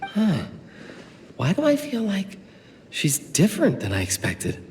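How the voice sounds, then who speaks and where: Casting: jittery, deferential male stall keeper for a game, eager and earnest, very animated intonation. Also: high-pitched, a little slow, slightly rough, exaggerated voice. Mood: anxious, earnest. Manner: hesitant, stammering, deferential. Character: nervous, earnest, polite street-food vendor. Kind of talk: video game voice acting. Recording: music behind.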